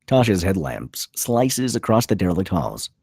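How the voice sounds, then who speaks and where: Male narrator for a screenplay read: low husky voice, very dynamic pitch, punchy clipped delivery. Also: big pitch swings, normal speed, darkish tone, no room sound, clean studio sound. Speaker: narrator reading stage directions. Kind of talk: dramatic scripted narration.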